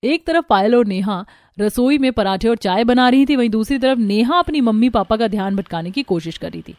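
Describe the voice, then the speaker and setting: A woman's voice: on the high side, lively intonation, brisk, darkish tone, no room sound. A woman storyteller reading scripted narration for a cartoon tale.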